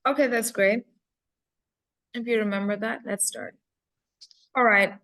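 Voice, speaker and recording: A woman acting as examiner, asking short, clear questions in a mock speaking test. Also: mid-range voice, steady tone, measured pace, fairly bright tone. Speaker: English coach and examiner.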